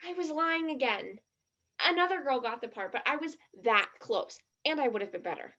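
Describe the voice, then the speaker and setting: A woman acts the part of an eager teenage girl in a stage play. Her voice sits high, and she speaks with gushing, dramatic earnestness. The sound is a little muffled and lo-fi. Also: lively intonation, moderate pace, sharp tone, heavily acted. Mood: bashful. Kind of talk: one-act stage play acting.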